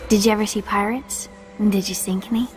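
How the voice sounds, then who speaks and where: A woman's voice: mid-range voice, steady tone, fairly quick, soft background music, lightly acted. A female performer playing an eager, curious little princess in a video game, bubbly and childlike. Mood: excited, curious. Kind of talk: dramatic game cutscene dialogue.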